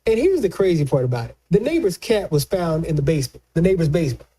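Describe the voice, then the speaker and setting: A man's voice: fairly high pitch, sing-song, fast talker, mellow. A man giving casual political commentary, speaking emphatically and repeating phrases for stress.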